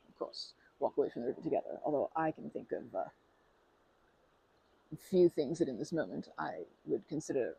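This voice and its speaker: Woman rambling shyly in an asmr roleplay, mellow voice, swoops up and down a lot. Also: sits low, vocal fry, breathy edge, no room sound, poor audio. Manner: rambling and bashful, with many fillers and restarts; playful and earnest, laughing softly. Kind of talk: ASMR roleplay, talking to the listener.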